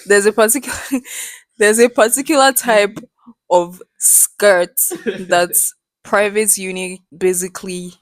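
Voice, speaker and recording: A woman in her twenties, a podcast guest telling stories with lively, swearing-laced energy. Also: mid-range voice, slow, no room sound. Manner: animated storyteller, swears freely. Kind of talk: casual chat.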